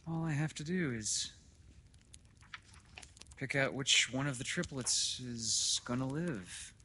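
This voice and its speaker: A man voicing a sulky, subdued father in a storybook reading, tense and quiet. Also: medium pitch, slow pace, a little hiss or hum, pitched above the performer's own voice. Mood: hollow, emptily. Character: glum, sulky young father, quietly strained.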